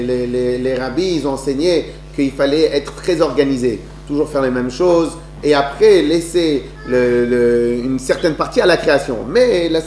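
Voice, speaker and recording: A man giving a religious talk to an audience, telling stories with many restarts and rhetorical questions. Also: fairly high pitch. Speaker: lecturer. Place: lecture hall. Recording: echoey room with faint hum.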